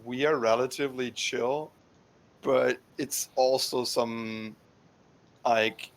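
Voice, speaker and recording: A man's voice: mid-range voice, fairly even intonation, slow, poor audio. A man from Germany with a German accent, a guest on a casual gaming podcast who jokes wryly and swears casually. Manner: wry, swears casually, laughs often.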